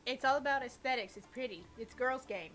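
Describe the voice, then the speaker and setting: A woman's voice: high voice, a little fast, a bit bright, soft background music, rough recording. A woman making casual, playful side comments as a guest on a gaming video, teasing the host. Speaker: gamer's wife as guest.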